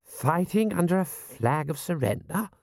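A man's voice: a little high, expressive, measured pace, creaky, no room sound, studio quality, pitched above the performer's own voice, exaggerated voice. A man voicing a haughty, anxious aristocratic army commander in an audiobook, with a pompous manner. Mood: indignant.

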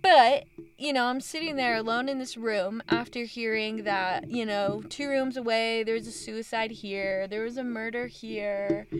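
A woman's voice: on the high side, unhurried, crowd noise. A woman from Utah with a Utah accent, chatting casually and telling stories in a chipper, bubbly way. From Utah, USA. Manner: animated storyteller, laughs often, chipper and bubbly. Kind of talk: casual chat.

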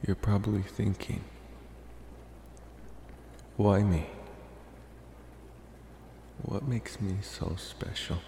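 A man playing a polite, infatuated, mysterious vampire in an ASMR roleplay, talking slowly and reassuringly with hesitant pauses. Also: fairly low pitch, steady tone, vocal fry, dark tone, reverberant, big-room sound, a little hiss or hum, full character voice. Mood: calm, reassuring. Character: soft-spoken male college-age vampire, politely infatuated, mysterious.

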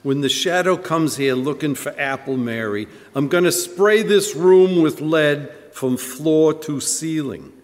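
A man's voice: a little high, a little slow, hardly any pauses, natural voice. A man playing a cold, cocky gangster boss in a live radio drama, bossy, with slangy tough-guy talk. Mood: menacing, cocky. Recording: echoey live hall.

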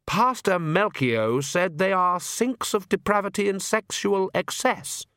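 Storybook role: timid, earnest young clergyman acted by a man, higher-pitched, faltering and quavery. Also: unhurried, a bit bright, clean tone, no room sound, clean studio sound. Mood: nervous. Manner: hesitant, quavering. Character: nervous, earnest young male pastor.

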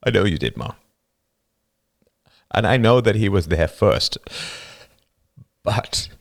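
A man's voice: fairly low pitch, sing-song, takes it slowly, vocal fry, pitched above the performer's own voice, full character voice. A man voicing a stoic older teenage boy whose voice cracks as he pushes his words out, tense and raised. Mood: gentle.

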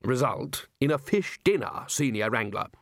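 A man's voice: medium pitch, expressive, normal speed, vocal fry, a bit bright, no echo, clean studio sound. A man voicing a pompous, exasperated elderly wizard who runs a university, for a storybook reading. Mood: brisk. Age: older. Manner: pompous, exasperated, takes charge with hesitant ums. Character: booming, bossy elderly male wizard, head of a university.

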